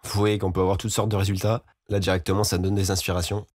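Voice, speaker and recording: A man giving an informal, explanatory software tutorial as a voice-over. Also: sits low, little pitch movement, fast. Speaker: music production tutorial host.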